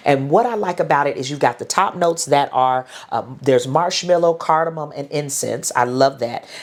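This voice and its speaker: A woman's voice: sits low, a little mellow. A middle-aged woman with a stuffy, congested nose, chatting through a fragrance haul review with lots of ums and restarts. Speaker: beauty and fragrance vlogger. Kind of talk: casual haul review to camera.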